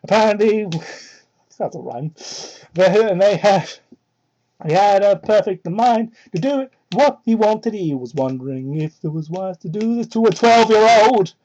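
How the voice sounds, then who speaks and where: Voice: expressive, measured pace, darkish tone. A young adult reading fan fiction aloud in a mock-dramatic way, with sweary, sardonic asides, on a cheap microphone. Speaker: reader and commentator. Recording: muffled low-fidelity cheap microphone.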